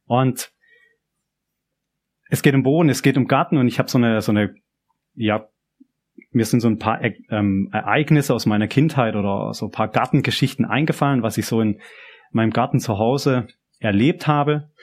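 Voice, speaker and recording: A man's voice: medium pitch, normal speed, long pauses, darkish tone. A young man with a southern German accent giving a personal, conversational youth church sermon, often repeating and restarting phrases. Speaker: preacher.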